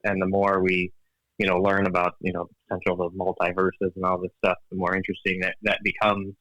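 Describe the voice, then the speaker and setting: A man with a low voice speaks as a guest in a relaxed interview, recorded dry and close. He picks his words carefully, restarts often and laughs easily. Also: a little fast, no echo, poor audio. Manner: thoughtful, hesitant, many restarts and fillers, laughs often; calls himself an engineer, evidence-minded. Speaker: guest author. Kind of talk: casual interview chat.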